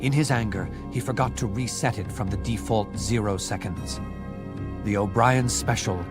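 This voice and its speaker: A low-voiced man narrates an audiobook in a measured, dramatic way. Loud music plays behind him throughout.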